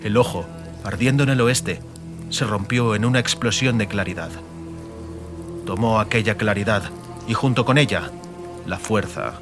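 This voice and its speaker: A man narrating a fantasy audiobook dramatically, in measured, emphatic phrases. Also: lowish voice. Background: music and atmospheric sound effects.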